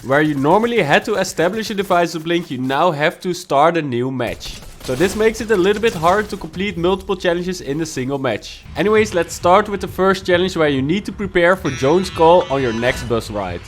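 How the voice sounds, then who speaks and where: A man's voice: fairly high pitch, animated, brisk, few pauses, soft background music. A man hosting a gaming guide and explaining the steps of a walkthrough in a matter-of-fact way. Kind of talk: game walkthrough narration.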